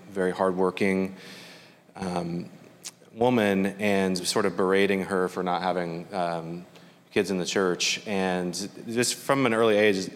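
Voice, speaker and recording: A man from Middle Georgia, speaking as a panel guest, slow and measured with many pauses. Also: low-pitched, in a large hall. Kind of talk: personal testimony in a panel.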